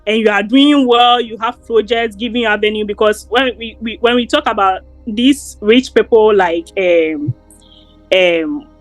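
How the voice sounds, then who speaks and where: A woman's voice: mid-range voice, a little slow, no echo, soft background music, rough recording. A young Ghanaian woman with a Ghanaian accent, talking earnestly as an interview guest, often repeating words. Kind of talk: casual interview.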